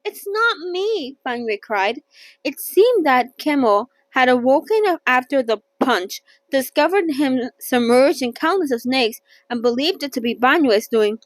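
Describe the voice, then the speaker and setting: A woman with a high-pitched voice reads a story aloud in a casual, playful way. She trips over words, corrects herself and drops in chatty asides. The sound is dry, with very little room to it. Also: lively intonation, measured pace, dry recording. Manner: playful, stumbles and self-corrects when reading. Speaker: host reading a novel aloud.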